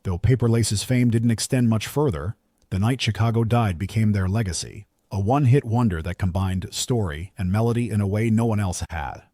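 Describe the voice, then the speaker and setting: A man reading scripted countdown narration in a polished, measured storytelling manner, close on the microphone. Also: lowish voice, mellow.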